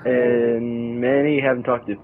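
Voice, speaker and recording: A man's voice: mid-range voice, flat delivery. A young man chatting casually while playing an online game, explaining a glitch to his friends over headset voice chat. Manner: fast, bossy, explaining a glitch. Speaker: gamer. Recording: tinny phone-like voice chat with faint noise.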